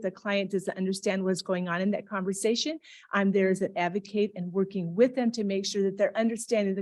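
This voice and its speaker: Woman panelist, mid-pitched and plain, explaining her work. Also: a little mellow. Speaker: panelist describing deaf interpreting work. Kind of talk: panel talk.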